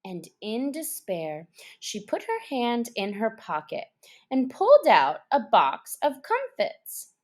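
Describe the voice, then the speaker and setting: A woman reading a children's story aloud as an expressive audiobook narrator. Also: on the high side, slow. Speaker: storyteller, reader.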